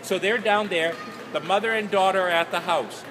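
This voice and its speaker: A man's voice: high-pitched, moderate pace. Older storyteller, man, noisy recording. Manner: animated, gesturing, colloquial storyteller. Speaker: author. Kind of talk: informal author talk and storytelling to an audience. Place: large indoor festival hall, noise behind. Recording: noisy large hall, ambient background noise.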